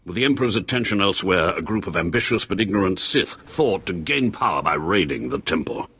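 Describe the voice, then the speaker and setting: An actor playing a grave, anxious Sith lord scholar with a formal, theatrical manner and husky voice in a video game. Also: male voice, deep voice, lively intonation, brisk, sharp tone, full character voice. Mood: grave, ominous. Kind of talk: video game cutscene acting.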